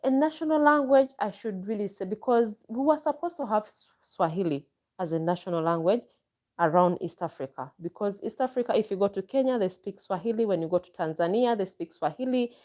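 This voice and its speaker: A woman's voice: medium pitch, a little fast, dark tone. A Ugandan woman vlogger chatting casually and enthusiastically as she answers viewer comments, with frequent exclamations. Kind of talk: casual live chat.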